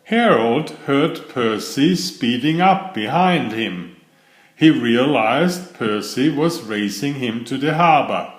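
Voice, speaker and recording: A man reading a children's bedtime story aloud in a deliberate, expressive storybook manner. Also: mid-range voice. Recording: roomy, echoing voice recording.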